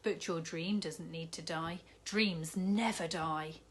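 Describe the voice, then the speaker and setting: A woman voicing a big, jolly, kindly male shopkeeper in a storybook reading, warm and fatherly. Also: fairly low pitch, fairly even intonation, takes it slowly, a little hiss or hum.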